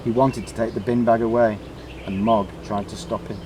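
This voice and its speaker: A man reading a children's story aloud in short, deliberately emphasised phrases. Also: lowish voice, level tone, noisy background. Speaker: storybook reader.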